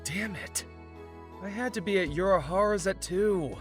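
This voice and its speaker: A man's voice: high voice, moderate pace, faint music behind, close to an everyday voice. An actor playing a hot-headed, easily exasperated young father and hero in a fan audio drama. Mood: annoyed.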